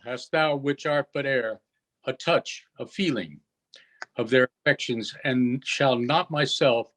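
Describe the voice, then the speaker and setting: Slow, stern man reading as an old sorcerer. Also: medium pitch, a bit bright. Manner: measured, declamatory verse reading. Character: commanding old male sorcerer, stern yet softening to mercy.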